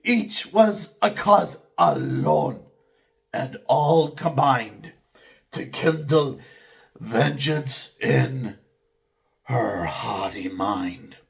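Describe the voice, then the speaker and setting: Slow, theatrical man reciting epic verse. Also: medium pitch, lively intonation, gravelly. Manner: declamatory, theatrical, with drawn-out pauses between phrases. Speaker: poetry reader.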